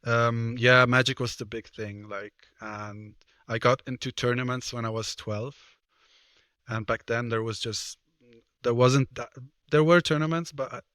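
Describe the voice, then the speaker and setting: A man's voice: medium pitch, fairly even intonation, unhurried, a bit bright, no room sound, studio quality. A man in his thirties from Switzerland, a guest on a podcast talking casually and hesitantly, with many fillers and restarts. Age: 30s. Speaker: guest, personal trainer and card game player. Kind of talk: casual interview talk.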